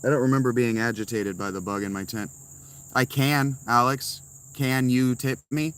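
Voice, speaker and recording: A man's voice: medium pitch, fairly even intonation, normal speed, a bit bright, smooth. A man streaming live from inside a tent, answering chat messages with blunt, sarcastic replies. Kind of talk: casual livestream chat.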